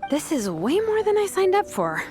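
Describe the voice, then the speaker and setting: A woman's voice: extremely high pitch, wildly swooping pitch, normal speed, full character voice. A woman voicing a blunt, laid-back young woman warrior in an anime game, with a casual manner. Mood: relieved, wry. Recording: music behind.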